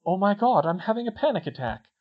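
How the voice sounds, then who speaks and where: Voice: mid-range voice, big pitch swings, normal speed, dull audio, pitched above the performer's own voice, natural voice. A reader voicing an earnest, insistent young girl student who speaks emphatically. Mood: panicked. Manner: emphatic, didactic, stammers when upset.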